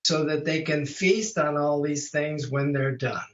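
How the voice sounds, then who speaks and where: An elderly man in his mid-eighties gives steady, plain explanations in a casual talk. His voice is fairly high for a man. The audio is echoey and muffled. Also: measured pace. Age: 80s. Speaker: guest, cooking teacher. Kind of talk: casual interview chat.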